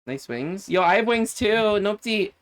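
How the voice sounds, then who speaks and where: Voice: medium pitch, lively intonation, normal speed. A streamer chatting casually during a game stream, playful and teasing, with faint music behind. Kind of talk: casual gaming stream chat.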